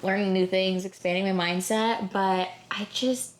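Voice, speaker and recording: Brief: young woman, co-host, lively candid chat, average pitch. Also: normal speed. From Houston, Texas. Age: young adult. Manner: animated, candid, casual swearing. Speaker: podcast co-host. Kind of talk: casual chat.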